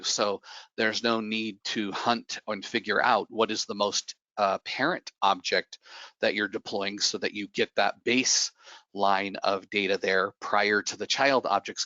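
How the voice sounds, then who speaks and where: A man with a cold and a slightly raspy voice explains software features in an online presentation, with frequent fillers and restarts. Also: fairly low pitch, no echo, lo-fi sound. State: recovering from a cold, getting raspy. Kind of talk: product presentation on a webinar.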